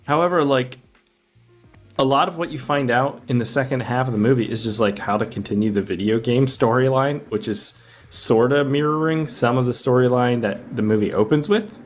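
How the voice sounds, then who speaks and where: A man chats as a podcast co-host in a loose, informal style. He sounds amused and swears freely as he gives his take. Music plays clearly behind his voice. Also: mid-range voice, normal speed. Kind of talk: casual movie review chat. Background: background music.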